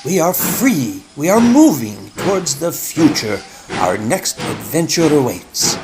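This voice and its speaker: An actor playing a jubilant, grandiose leader of an opera troupe in an audio drama, declaiming to the company. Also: male voice, fairly high pitch, big pitch swings. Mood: triumphant. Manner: slow, declamatory. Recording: noisy ambience with people behind.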